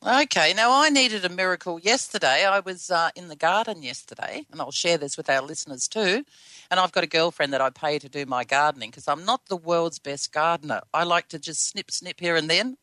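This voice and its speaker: A female radio host speaks with an Australian accent in a bright, dry-sounding voice. She is chatty and upbeat, talking directly to listeners and guests. There is a slight scratchiness, as if her voice is starting to go. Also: on the low side, expressive, a little fast, no echo. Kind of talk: live radio hosting and interviewing.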